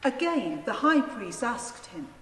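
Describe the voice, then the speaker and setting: A woman narrating a dramatised scripture reading in a solemn, deliberate manner. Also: fairly high pitch, very animated, a bit bright. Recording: echoey church, long reverb, faint noise.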